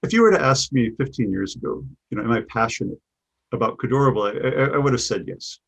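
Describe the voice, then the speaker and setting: A man's voice: medium pitch, quick pace, gravelly, breathy edge, rough recording. A middle-aged man with a Chicago accent, answering interview questions in a thoughtful way, often repeating words as he restarts. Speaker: guest, business coach.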